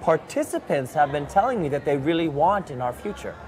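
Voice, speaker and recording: A man's voice: fairly high pitch, sing-song, fairly quick. A young man interviewing an expo organizer, pressing with pointed questions and laughing easily. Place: large convention center hall, indoors. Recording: busy convention hall crowd noise. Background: crowd chatter.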